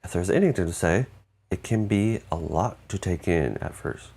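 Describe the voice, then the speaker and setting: Gravelly, animated man co-hosting a show. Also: deep voice, moderate pace. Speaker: co-host of a video game show. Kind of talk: scripted presentation.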